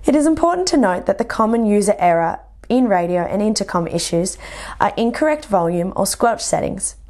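A woman's voice: medium pitch, darkish tone. A young woman with an Australian accent, a flight instructor, delivers scripted instructional narration in a methodical, explanatory way. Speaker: flight instructor presenting a lesson.

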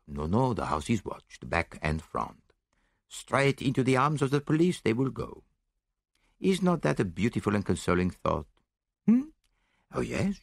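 A man voicing a shrewd, courteous detective in a mystery audiobook, theatrical and gently mocking. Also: mid-range voice, creaky, slightly rough, no echo, clean studio sound, pitched above the performer's own voice, exaggerated voice. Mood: smug, courteous. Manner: courteous, mildly reproving, theatrical.

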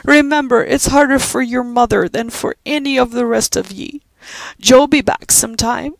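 A woman puts on an old Irish housekeeper with a lilting brogue, fussing over the children with tender scolding. The voice rides far higher than the reader's own, with a gravelly edge, and sits close and dry on the mic. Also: normal speed, dry recording. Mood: tender soothing. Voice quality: lilting dialect speech.

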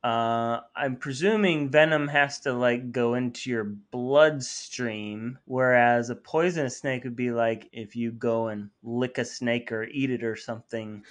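A man's voice: medium pitch, steady tone, measured pace, hardly any pauses. A man co-hosting a podcast in casual banter, with playfully mock-formal phrasing. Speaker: podcast co-host.

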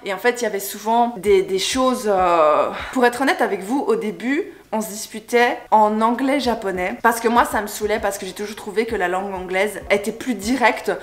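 A young woman in her twenties, chatting casually to camera in a storytime vlog, animated and digressive, gesturing a lot from a sofa at home. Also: medium pitch, moderate pace, few pauses. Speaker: vlogger. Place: indoor room, sofa with colorful pillows. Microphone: camera in front, chest-up framing.

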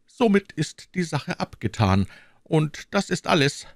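A man's voice: on the high side, sing-song, measured pace, no room sound, studio quality, voice pushed up. A man voicing a cold, haughty king who speaks with stately command in an audiobook reading. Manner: stately, commanding, coldly taunting. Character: cold, cruel, haughty middle-aged king.

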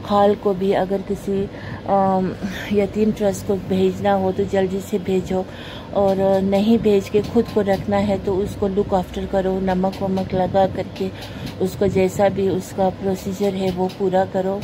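A woman's voice: medium pitch, completely flat delivery, measured pace, noisy background. A woman vlogger, giving an earnest, preachy monologue in a carpeted room at home. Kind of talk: moralizing monologue to camera. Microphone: phone camera pointed at the floor.

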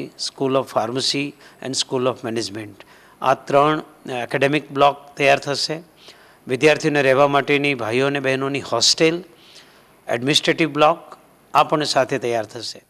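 A man in his sixties gives an office interview. His medium-pitched voice has plenty of fry as he lists points into a handheld mic. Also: a bit bright. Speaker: university head being interviewed. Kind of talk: interview explanation. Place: office, indoors. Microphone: handheld reporter microphone.

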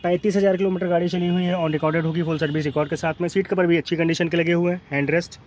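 A North Indian man with a slightly high voice gives a used car walkaround. He lists features in short, matter-of-fact phrases. He is recorded outdoors in a car lot, with faint street noise behind him. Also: flat delivery, quick pace, a little mellow. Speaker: used car dealer presenting cars. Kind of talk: spoken walkaround sales presentation. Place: outdoor car lot, beside and inside cars. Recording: noisy outdoor street ambience.

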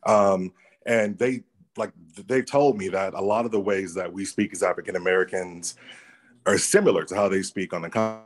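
A man's voice: deep voice, steady tone, moderate pace. A man sharing his views in a casual video-call discussion, thoughtful and conversational, often checking in with "right?". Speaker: workshop participant. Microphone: laptop or phone on a video call.

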